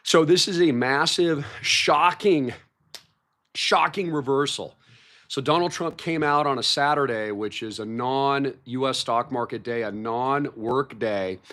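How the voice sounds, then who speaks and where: A man hosting a livestream, his voice hoarse from a recent cold, talking in an excited, repetitive way about market news. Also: mid-range voice, unhurried. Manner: hyped, repeats words like massive and shocking. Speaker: livestream host.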